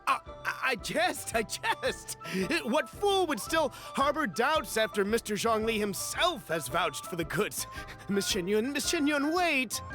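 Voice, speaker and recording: A man's voice: extremely high pitch, very animated, a little slow, music in the background, full character voice. A man voicing a fussy, polite, fawning merchant in a video game, with hesitant turns of phrase. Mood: flustered, apologetic.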